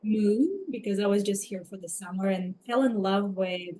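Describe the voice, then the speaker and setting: A woman from Ukraine with a Ukrainian accent, telling her personal story as an interview guest, reflective and halting as she picks her words. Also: mid-range voice, fairly even intonation, warm tone, a little hiss or hum. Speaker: podcast guest, somatic coach.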